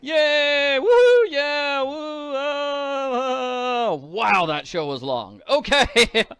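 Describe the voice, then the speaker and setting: A man's voice: very high voice, sing-song, drawn-out words, a bit bright, dry recording. A man doing playful game walkthrough commentary with a goofy, mock-serious manner.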